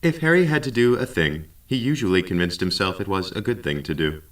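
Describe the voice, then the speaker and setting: Low-voiced male actor as a wry ship's AI, measured and deadpan, slightly distant, sci-fi audio drama. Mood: calm, reflective. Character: dry, wry male-voiced station computer narrating its memories. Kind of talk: scripted audio drama dialogue and narration.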